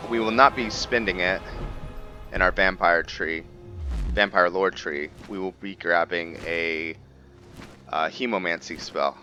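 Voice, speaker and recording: A man's voice: low-pitched, a little slow, sharp tone, soft background music. A man giving casual roleplay game commentary, rambling and thinking aloud to viewers as he plays. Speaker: gaming video host.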